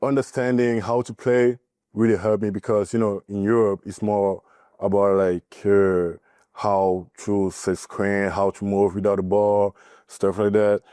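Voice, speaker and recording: A young man from Cameroon, a college basketball player giving a podcast interview, speaking haltingly with many fillers. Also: on the low side, flat delivery, dark tone, smooth, dry recording, clean studio sound. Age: young adult. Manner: halting, filler-heavy, nervous.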